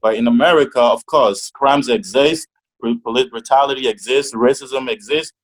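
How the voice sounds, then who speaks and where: A man's voice: mid-range voice, moderate pace, poor audio. Hesitant man from Togo. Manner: hesitant, many restarts and fillers. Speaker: interview guest. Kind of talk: casual interview answers.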